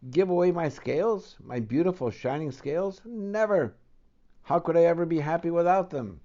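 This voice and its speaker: A man's voice: fairly high pitch, lively intonation, moderate pace, dark tone, dull audio, pitched above the performer's own voice, lightly acted. Man voicing a proud, vain storybook fish. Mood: outraged. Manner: haughty, exclamatory. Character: proud, vain, beautiful male fish, indignant.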